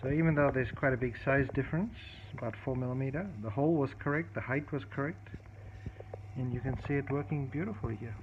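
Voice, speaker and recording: A man casually demonstrating a tape recorder repair at his workbench, explaining step by step in a halting way, with many fillers and restarts. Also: mid-range voice. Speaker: hobbyist repairer. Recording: dull, lo-fi audio with clear background noise and clicks.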